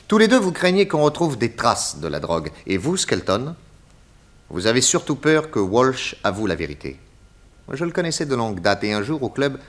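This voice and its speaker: An actor playing a confident private detective in a 1960s French radio crime serial, speaking in a courteous, methodical and theatrical way. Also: male voice, medium pitch, natural voice. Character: confident male private detective exposing a murderer.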